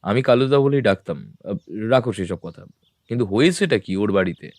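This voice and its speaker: Brief: a man playing an unhurried detective with a low voice in a radio drama, dry close mic. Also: sing-song, darkish tone, no echo. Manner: measured, matter-of-fact. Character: calm, composed male detective, middle-aged. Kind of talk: audio drama acting.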